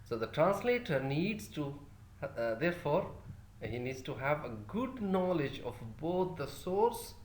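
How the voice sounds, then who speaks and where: A man's voice: a little high, sing-song, a little slow, a little mellow, roomy sound, a little hiss or hum. A male lecturer whose first language is Urdu, teaching a class with many fillers and restarts and putting questions to his listeners.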